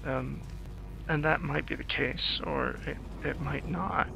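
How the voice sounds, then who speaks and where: A man improvising playthrough commentary on a video game, thinking aloud in a hesitant, halting way with a gravelly voice. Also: on the high side, fairly even intonation, noisy background. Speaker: screenwriter doing game commentary. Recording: game music behind the voice. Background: video game music.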